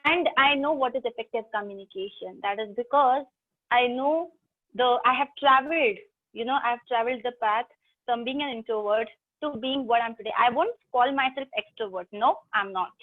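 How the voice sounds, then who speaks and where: A woman's voice: on the high side, normal speed. A woman from South India with an Indian English accent, teaching an online English class in a chatty, teasing way and talking straight to her students. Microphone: video call. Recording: tinny video-call audio.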